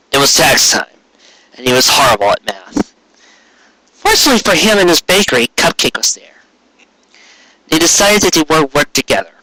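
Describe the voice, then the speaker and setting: Bright, dry-sounding male story narrator. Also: mid-range voice, dry recording, low-quality recording. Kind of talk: expressive scripted fiction narration.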